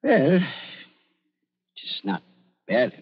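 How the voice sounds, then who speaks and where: An older German doctor in a radio play, fawning and evasive. His husky voice swings widely in pitch as he stammers through stilted foreign phrasing in a German accent. The recording is dull and muffled. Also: wildly swooping pitch, takes it slowly, exaggerated voice. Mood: uneasy confiding. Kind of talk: radio drama acting.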